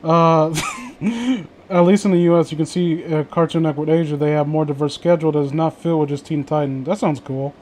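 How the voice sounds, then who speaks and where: A man's voice: on the high side, steady tone, fairly quick, darkish tone, slight background noise. A man chatting casually as a host, rambling, blunt and opinionated, with frequent swearing. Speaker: host of a casual group chat.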